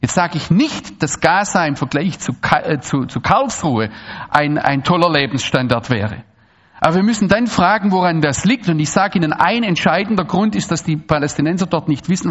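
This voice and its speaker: A man from Swabia, giving an animated, digressive talk to an audience with frequent restarts and asides. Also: a little high, muffled recording. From Swabia, Germany. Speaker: speaker giving a public talk. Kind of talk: lecture to an audience.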